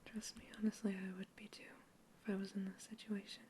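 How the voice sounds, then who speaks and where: A young woman whispering close to the mic in a sci-fi ASMR roleplay, calmly questioning and reassuring as a scientist observing an alien. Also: mid-range voice, fast, a little hiss or hum. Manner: soft whisper throughout, calm and reassuring. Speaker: ASMR creator. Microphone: close ASMR microphone.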